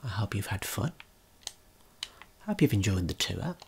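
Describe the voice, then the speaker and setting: A man giving a gentle, unhurried ASMR tour of a video game in a breathy, whispered, soft-spoken voice. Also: on the low side, big pitch swings. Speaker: ASMR creator and tour guide.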